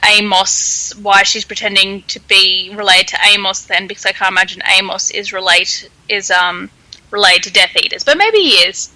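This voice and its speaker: A woman podcast host chatting casually and speculating in an animated, giggly way. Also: medium pitch, normal speed, no room sound, low-quality recording. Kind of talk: casual chat, theorizing about a story.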